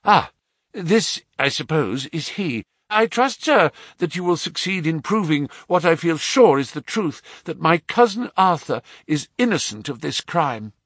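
A man's voice: on the high side, sing-song, a little slow, fairly bright tone, husky, no echo, clean studio sound, pitched above the performer's own voice, heavily acted. A man reading aloud, voicing an earnest young woman who pleads and protests loyally in a raised voice. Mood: pleading. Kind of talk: audiobook reading.

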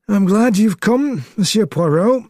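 A man's voice: sits high, very animated, measured pace, a little mellow, dry recording, studio quality, voice pushed up, exaggerated voice. A man voicing a polite, worried police colonel, earnest and courteous, in a storybook reading.

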